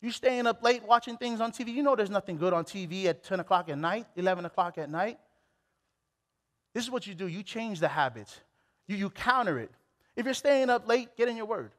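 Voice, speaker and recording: Young man from Hawaii, very high voice, animated preacher, restarts a lot, headset mic, big hall reverb. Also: brisk. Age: late 20s. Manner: animated, exclamatory, with many restarts. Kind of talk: sermon. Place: church stage.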